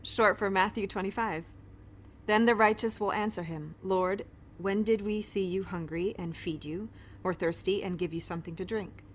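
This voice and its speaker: A woman's voice: mid-range voice, normal speed, a little hiss or hum, close to an everyday voice. An actress playing a friendly, awkward woman pediatrician in an audio drama, apologetic and often interrupting herself. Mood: explaining eagerly. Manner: chatty, awkward, self-interrupting. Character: friendly, flustered, apologetic woman pediatrician who shelters fugitives. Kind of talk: naturalistic audio drama dialogue.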